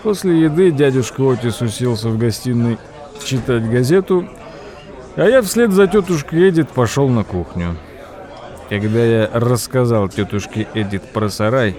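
An actor playing a thoughtful nephew who narrates an audio drama in an expressive, measured way. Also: male voice, medium pitch, lightly acted. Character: thoughtful nephew recounting his uncle's strange tale. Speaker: narrator and character. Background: music.

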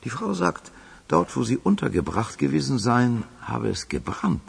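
A man's voice: mid-range voice, very animated, moderate pace, creaky, slightly rough, full character voice. An actor playing a dutiful male underling in a German radio crime drama, reporting to his boss in a matter-of-fact, deferential way. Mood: factual.

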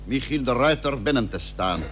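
A man's voice: mid-range voice, lively intonation, moderate pace, close to an everyday voice. An actor playing a seventeenth-century statesman in a radio play, pompous and ceremonious, speaking in ornate official formality. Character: ceremonious 17th-century statesman addressing an honoured commander. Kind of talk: Dutch radio play acting. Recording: old radio recording with faint hum.

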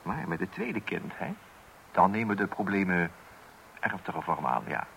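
A man's voice: low voice, very animated, unhurried, gravelly, a bit bright, full character voice, rough recording. An actor playing an exasperated father of small children in a Dutch radio play, hesitant and blustering, with wry asides. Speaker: narrator addressing the listener. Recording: hissy old radio recording.

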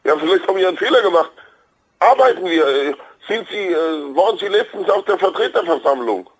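A man with a husky voice, protesting in a flustered, halting way on the phone. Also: high-pitched, lively intonation, poor audio. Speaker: prank call recipient, sales representative. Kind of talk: casual phone conversation.

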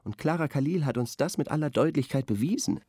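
An actor playing an earnest, lecturing intellectual art critic in a radio drama studio discussion. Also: male voice, a little high, expressive, fast, warm tone, no room sound. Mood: solemn, admiring.

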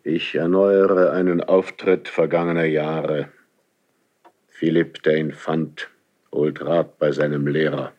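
An actor playing a proud, embittered ageing king in a classical German verse radio drama, slow and halting with a gravelly, husky voice. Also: very deep voice, little pitch movement, a little mellow. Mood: troubled questioning. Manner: slow, halting, brooding, theatrical declamation. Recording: slightly dull archival radio drama recording.

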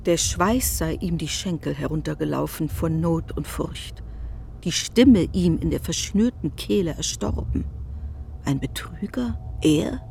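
A woman's voice: deep voice, a little slow, creaky, dark tone, slight background noise. A woman narrating a literary radio drama, expressively retelling another person's speech with some irony.

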